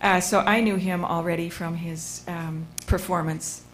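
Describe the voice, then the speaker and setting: A woman's voice: on the low side, fairly even intonation, measured pace, a little hiss or hum. Woman moderator introducing a speaker. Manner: casual, searching for words with fillers. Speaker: panel moderator. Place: museum lecture room.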